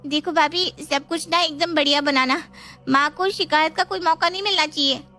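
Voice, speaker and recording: A woman's voice: high-pitched, steady tone, normal speed, sharp tone, faint music behind, voice pushed up. A woman voicing a timid young daughter in a storybook drama, with a whiny, pleading manner. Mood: anxious, submissive. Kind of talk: Hindi moral storybook drama.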